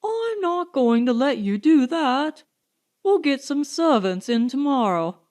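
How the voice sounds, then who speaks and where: A woman's voice: high-pitched, big pitch swings, measured pace, a little mellow, pitched above the performer's own voice. A woman voicing a take-charge society man hosting guests in an audiobook, emphatic and objecting. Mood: insistent.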